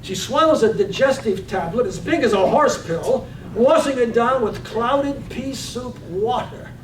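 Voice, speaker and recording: Guy narrating poetic radio play, slow, roomy far off sound. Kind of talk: dramatic reading of poetic radio drama narration. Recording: roomy, distant microphone, faint rumbly noise.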